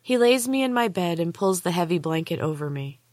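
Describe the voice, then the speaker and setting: Low-pitched woman narrating suspenseful fiction. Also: expressive, a little fast, a little mellow, no room sound, studio quality. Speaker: author and podcast host reading own book. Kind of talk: dramatic first-person audiobook narration.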